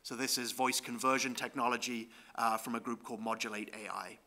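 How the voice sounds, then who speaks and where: Male conference presenter, mid pitch, sharp bright voice, explanatory, lots of ums. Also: fairly even intonation, fairly quick. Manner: explanatory, with frequent ums and tag questions. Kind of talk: conference talk with slides.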